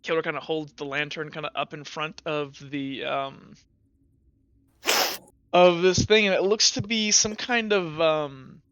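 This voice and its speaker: A game master rambling through a tabletop scene with a bright, sharp voice, full of fillers and hedges. The recording is dry and a bit muffled. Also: mid-range voice, measured pace, clear, resonant tone, no echo.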